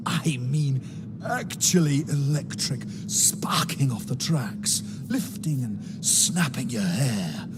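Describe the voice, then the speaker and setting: An actor playing a world-weary narrator of an apocalyptic vision in a game cutscene, moody and poetic with dramatic pauses. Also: mid-range voice.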